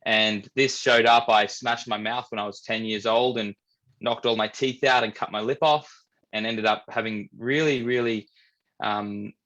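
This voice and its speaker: A young Australian man from New South Wales, a men's coach, telling his life story in a relaxed, candid way and often repeating words as he thinks. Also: on the low side, moderate pace. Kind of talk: casual storytelling interview.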